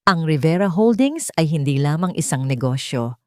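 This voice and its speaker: A woman narrator plays a dignified old chairwoman in an audio drama story. She addresses people formally, calm yet firm and clear. Her voice is fairly low, and the recording is dry. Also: normal speed, dry recording. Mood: calm, solemn. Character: dignified elderly matriarch and company chairwoman, calm but firm.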